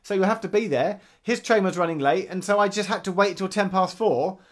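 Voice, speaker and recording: A man in his thirties co-hosts a relaxed podcast. His voice sits a little high, and he tells anecdotes lively, voicing his own past thoughts. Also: fairly quick. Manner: animated storyteller, acts out his own thoughts. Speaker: podcast co-host. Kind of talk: casual chat.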